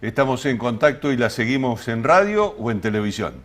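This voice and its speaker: Conductor argentino de tono medio. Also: male voice, medium pitch, lively intonation, a little fast. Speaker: TV and radio show host. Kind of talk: live hosting.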